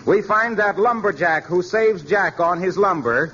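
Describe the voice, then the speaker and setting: A man's voice: sits high, measured pace, pushed and bright. A man with an American accent announcing in formal, declamatory 1940s radio style from a script. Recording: muffled 1940s radio, faint noise.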